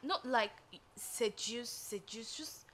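A woman's voice: on the high side, slow, fairly bright tone. A woman with a Nigerian accent playing an earnest, scheming wife in dramatic film dialogue. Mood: insistent.

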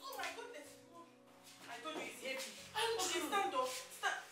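A woman playing a flustered, excitable relative in a family screen drama. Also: very high voice, fairly quick, reverberant, distant mic, music in the background.

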